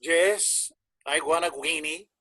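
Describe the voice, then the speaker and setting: A man's voice: a little high, slow, pushed and bright, dry recording, clean studio sound, full character voice. A man playing a flamboyant, teasing, fussy band guitarist in a screenplay table read. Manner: theatrical, playful, mock-haughty.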